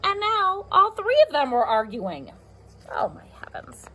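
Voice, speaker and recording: Woman, children's read-aloud, very lively intonation, expressive, chatty asides, faint background noise. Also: sits high, measured pace. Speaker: pre-K teacher reading aloud. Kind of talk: animated picture-book read-aloud for young children.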